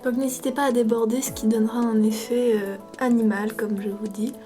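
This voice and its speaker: A woman with a cold chats through a makeup tutorial. Her voice sits a little high, and she pauses constantly with fillers while keeping a mock-serious, playful tone. Music plays clearly in the background. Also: flat delivery, dark tone. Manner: hesitant, many fillers, playful parody tone. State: stuffy with a cold. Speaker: makeup vlogger.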